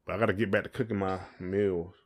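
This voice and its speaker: A man's voice: deep voice, steady tone, quick pace. A man hosting a casual podcast chat, talking in an informal, colloquial way. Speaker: podcast host.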